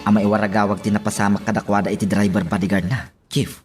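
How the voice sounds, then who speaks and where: A man's voice: fairly low pitch, little pitch movement, quick pace, faint music behind, lightly acted. A man acting a worried subordinate questioning his superior in an Ilocano radio drama. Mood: worried, urgent.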